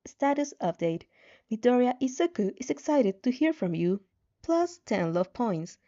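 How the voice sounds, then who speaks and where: A woman's voice: fairly high pitch, big pitch swings, a little slow, warm tone, dull audio. A woman voicing a game-like status system that announces point tallies in a formal, clipped way. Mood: neutral announcing.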